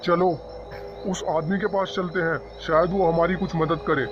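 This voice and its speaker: A man's voice: on the high side, fairly even intonation, moderate pace, natural voice. A man voicing an earnest, urgent young man who leads his friends in a cartoon horror story. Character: guilt-ridden, pleading young man leading his friends. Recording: dull lo-fi audio under music.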